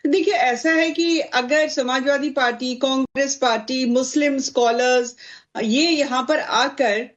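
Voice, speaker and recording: Woman, TV debate panellist, high pitch, emphatic argument, repeated phrases, dull audio. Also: fairly even intonation, unhurried. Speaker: political panellist defending the government.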